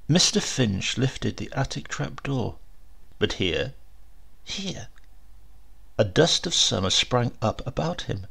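Male story narrator: animated intonation, some creak, dramatic literary delivery. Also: medium pitch. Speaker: audiobook narrator.

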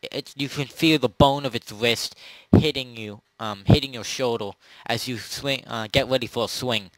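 Man game-mastering, halting delivery, dry sound. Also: mid-range voice, dry recording, low-quality recording. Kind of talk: improvised narration of a tabletop role-play, casual.

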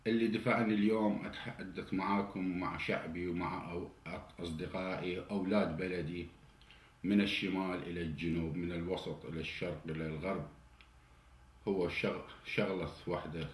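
A man's voice: sits low, steady tone, fairly quick. A man in his forties with an Iraqi Arabic accent, delivering an indignant political monologue straight to the camera. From Iraq. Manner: indignant, grows heated and louder. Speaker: political commentator. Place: indoor study with flag and cabinet. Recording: roomy indoor echo, faint hiss.

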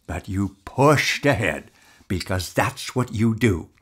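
An agitated, conflicted partner in an audio drama, acted by a woman. The voice is very deep and husky, jumping around in pitch. The delivery is urgent and halting. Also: animated, full character voice. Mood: tense, anguished. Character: agitated armed partner named Pete, desperate and torn.

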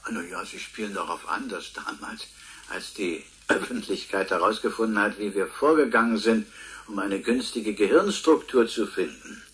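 An actor playing a proud, defensive professor being interviewed in a radio drama, pedantic and pointed, with deliberate emphasis. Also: male voice, fairly low pitch, a bit bright, lightly acted. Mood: indignant. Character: proud, defensive male professor, Nobel-winning scientist.

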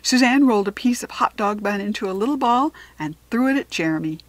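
An American woman narrating a children's story expressively, with deliberate dramatic emphasis on key words. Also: mid-range voice, expressive, no room sound. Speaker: storybook reader.

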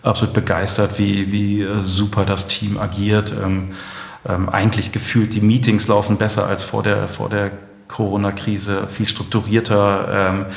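A middle-aged man, a company co-founder, answers interview questions in a reflective, conversational way, often softening points as his own opinion. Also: on the low side, little pitch movement, normal speed, vocal fry. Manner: reflective, hedging with frequent 'I think'. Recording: roomy, echoing interview recording.

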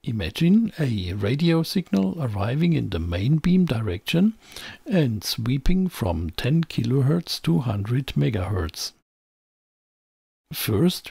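A man's voice: medium pitch, vocal fry, dark tone, slightly rough, no echo. A man presenting a scripted technical explainer in a didactic, emphatic way, as a close voice-over. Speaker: presenter of an electronics channel.

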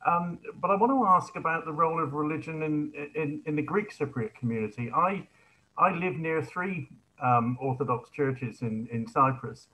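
A middle-aged man putting a spontaneous question at an online seminar, in halting phrases with repeated words. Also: a little high, bright, forceful tone, rough recording. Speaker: audience member asking a question. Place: living room, indoors. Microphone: video call, computer microphone.

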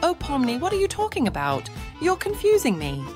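A woman's voice: high-pitched, huge pitch swings, a little fast, faint music behind. A woman voicing a kind, sisterly female rag doll. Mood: puzzled.

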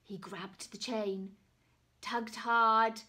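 Slow, dramatic woman reading to children. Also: a little high, level tone. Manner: dramatic pauses, hushed asides to the children. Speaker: author reading her picture book aloud. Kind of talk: expressive storytime reading for young children. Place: small room at home, indoors. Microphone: camera or phone at about arm's length.

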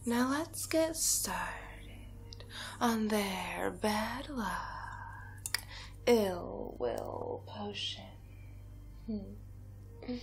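A young woman playing a sly, vengeful witch in an ASMR roleplay, often whispering, breathy, deliberate, soothing yet menacing. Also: mid-range voice, sing-song, heavily acted. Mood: scheming. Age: young adult. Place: small bedroom, indoors.

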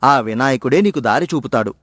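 Gentle fatherly temple priest voiced by a man. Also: mid-range voice, expressive, brisk, no room sound, studio quality, natural voice. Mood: reassuring. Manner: gentle, affectionate, reassuring. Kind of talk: moral storybook dialogue.

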